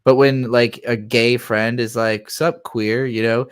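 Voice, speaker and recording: A man's voice: mid-range voice, flat delivery, measured pace, clear, resonant tone. Male streamer, mid-range voice, loose conversational reaction commentary, rambles and swears freely. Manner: conversational, rambling, sweary, acts out imagined replies. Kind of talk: casual unscripted reaction commentary.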